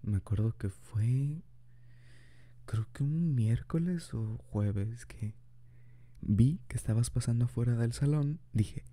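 A man's voice: medium pitch, takes it slowly, dark tone, no room sound. A young man improvising an ASMR boyfriend roleplay, rambling chattily and laughing often into a close mic. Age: young adult.

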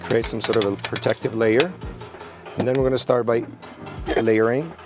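A young man cooking outdoors at a barbecue, relaxed and explaining each step as he works. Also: medium pitch, normal speed, over loud music. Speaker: cooking show chef. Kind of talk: cooking demonstration. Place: outdoor garden by a barbecue grill.

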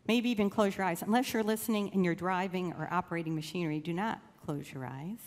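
A woman leading a guided meditation in a slow, gentle, soothing way with long pauses. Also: on the low side. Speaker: church meditation leader. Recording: long reverb of a large room.

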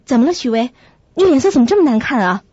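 A woman playing a sensible, caring young flatmate who narrates and acts in a radio drama, with a husky, breathy voice. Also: high voice, fast, dry recording, lo-fi sound, exaggerated voice. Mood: concerned.

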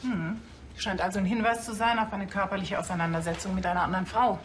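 An actress playing a cool, matter-of-fact forensic pathologist with a measured, dry delivery. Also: female voice, mid-range voice, steady tone, a little hiss or hum, close to an everyday voice. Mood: clinical. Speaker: actor in a TV crime comedy.

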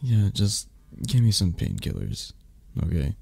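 Sick, sulky mafia boss played by a man for ASMR romance: raspy, swooping intonation, close dry sound, plaintive and possessive. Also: lowish voice, wildly swooping pitch, no room sound, full character voice. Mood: weary, pleading. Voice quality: soft, breathy, raspy. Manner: halting, sighing, plaintive. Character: sick, grumbling, needy male mafia boss, possessive lover. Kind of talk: ASMR romance roleplay acting.

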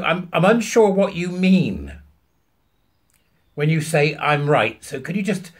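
A British man in his fifties telling an anecdote to camera, emphatically acting out both sides of a conversation in a husky voice. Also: fairly high pitch, a bit bright. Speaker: vlogger. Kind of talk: animated anecdote told to camera. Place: small furnished room at home, indoors.

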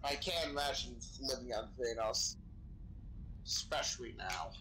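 A man's voice: medium pitch, expressive, unhurried, bright, forceful tone, poor audio. A man playing a chatty, sweary sci-fi crewman who knows his weapons, improvising casually in a game roleplay. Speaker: Star Wars roleplay player. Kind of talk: improvised in-character gaming chat. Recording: gaming voice chat with background noise.

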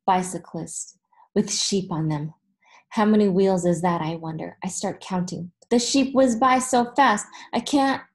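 Slow, deliberate woman reading a storybook. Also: mid-range voice. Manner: deliberate, expressive, pausing between phrases. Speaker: teacher. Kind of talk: storybook read-aloud for children. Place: small room in front of a whiteboard, indoors.

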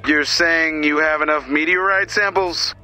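An actor playing a no-nonsense, exasperated heroic team leader giving mission dialogue in a video game. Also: male voice, fairly high pitch, steady tone, measured pace, bright, forceful tone, faint music behind. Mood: wary, patient. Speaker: mission commander.

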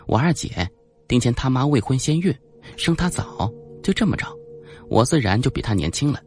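Man putting on a pushy young woman, pitched up. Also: big pitch swings, moderate pace, a little mellow, soft background music. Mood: brash, bluffing. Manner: pushy, glib. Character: brash, chatty young woman reporter bluffing her way in.

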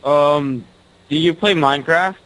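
A man's voice: fairly high pitch, measured pace, fairly bright tone, rough recording. A young man making a casual prank call over a computer, speaking hesitantly. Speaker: prank caller.

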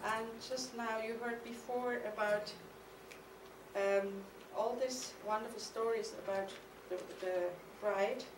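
A woman's voice: fairly high pitch, steady tone, noisy background. A middle-aged woman with a German accent, reading a reflective lecture from a podium. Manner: measured, reflective reading with some hesitations. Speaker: artist giving a conference lecture. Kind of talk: reading a prepared lecture, partly poetic. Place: stage of a mid-sized studio hall. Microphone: podium mic on a stand. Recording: echoey hall, from the camera's distance.

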